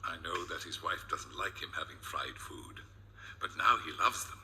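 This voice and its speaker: A man plays a gossipy woman behind a deli counter in a comic storybook. She is confiding and chatty, with a bright tone and lively pitch. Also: moderate pace, slight background noise, full character voice, poor audio. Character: chatty woman delicatessen shopkeeper, fond of a regular.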